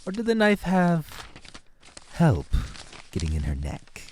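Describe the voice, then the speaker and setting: A man's voice: a little high, wildly swooping pitch, slight background noise, full character voice. A man playing a smugly nonchalant fairy witness in an audio drama, speaking in a genteel, arch, theatrical way. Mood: arch, mock-delicate. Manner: genteel, arch, drawn-out phrasing.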